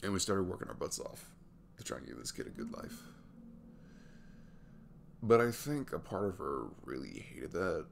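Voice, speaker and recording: Very deep, gravelly young single dad voiced by a man. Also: sing-song, a little hiss or hum, heavily acted. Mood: wistful, confiding. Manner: halting, soft-spoken, trailing off with fillers. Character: sweet young single dad, weary, wounded, confiding. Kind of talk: intimate ASMR roleplay, hesitant conversational delivery.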